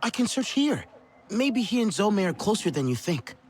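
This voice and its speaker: High-pitched male, young Na'vi hero, earnest, anxious, expressive intonation, game cutscene. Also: normal speed, a little hiss or hum. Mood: earnest, concerned. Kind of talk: dramatic video game cutscene acting.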